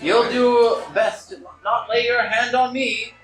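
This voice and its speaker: A man's voice: extremely high pitch, fairly even intonation, slow, a bit bright, pitched above the performer's own voice, heavily acted. A man playing a haughty older monopolist in a table reading, condescending, dismissive and easily outraged. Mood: indignant. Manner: pompous, dismissive, exclaiming. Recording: echoey room with music behind.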